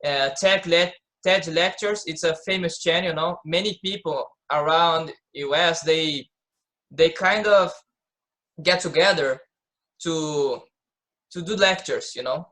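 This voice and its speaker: Man, late twenties, bright tone, halting repetitions. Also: fairly high pitch, low-quality recording. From Brazil. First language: Portuguese. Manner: halting, repeats words while searching. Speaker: participant. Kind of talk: casual group discussion.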